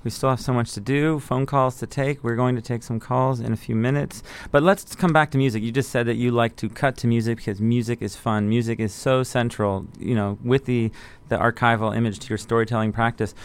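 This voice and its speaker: A man's voice: medium pitch, steady tone, a little fast, hardly any pauses, clean tone. A man hosting a radio interview in a friendly, chatty way. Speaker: radio host. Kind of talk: interviewing a guest.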